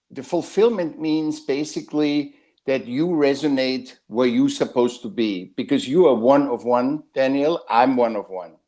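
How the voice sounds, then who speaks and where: A man's voice: mid-range voice, darkish tone. Rambling man on a call. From Austria. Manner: rambling, halting, with many restarts. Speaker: guest artist. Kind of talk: casual interview talk.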